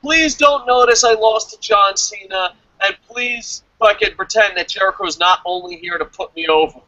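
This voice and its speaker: A man's voice: high voice, moderate pace, pushed and bright, no echo, muffled recording. A man co-hosting a wrestling review show in casual, opinionated chat, speaking bluntly and with plenty of swearing. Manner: profane, blunt.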